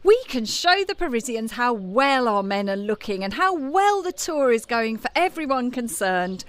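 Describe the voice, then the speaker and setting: Woman acting flirty french cabaret singer lady, high voice, swoops around, very sure of herself, radio play. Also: very animated, normal speed. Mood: charming, persuasive. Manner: coquettish, self-assured, theatrical. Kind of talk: audio drama acting.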